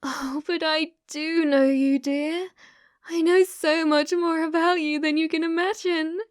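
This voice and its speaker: A woman's voice: extremely high pitch, steady tone, measured pace, no echo. A British woman playing an obsessive, delusional young female stalker in an ASMR roleplay, intimate and sweetly menacing, close to the microphone. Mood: insistent. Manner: intimate, unhinged, swinging between whispers and intense pleading.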